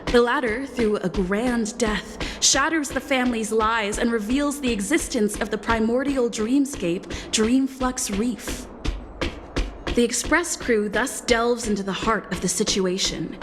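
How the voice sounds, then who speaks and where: A woman's voice: on the high side, unhurried, natural voice. A woman playing a grand, composed storyteller narrating a scripted video game story recap. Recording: long reverb, music behind.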